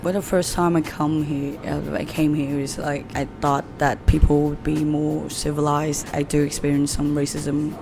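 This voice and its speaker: A young speaker from Vietnam with a Vietnamese accent talks in an earnest, flat, level voice. The phrasing is that of a second language. Music plays clearly underneath. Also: medium pitch, normal speed, hardly any pauses. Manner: earnest, non-native phrasing. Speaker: international student.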